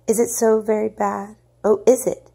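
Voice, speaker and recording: A woman's voice: medium pitch, little pitch movement, normal speed, creaky, mellow, husky, pitched above the performer's own voice. A woman voicing a frightened, elegant young mother in a storybook, with anguished exclamations. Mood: distraught.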